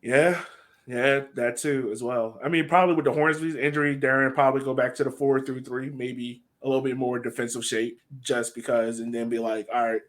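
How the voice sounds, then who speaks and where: Male podcast co-host, informal sports talk, mid-pitched voice, restates points for emphasis. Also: a bit bright. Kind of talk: casual sports analysis chat.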